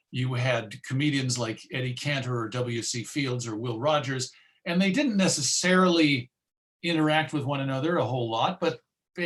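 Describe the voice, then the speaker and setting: Male presenter, mid-pitched, conversational and a little halting, drily humorous informal lecture delivery. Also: fairly bright tone. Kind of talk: informal talk with historical commentary.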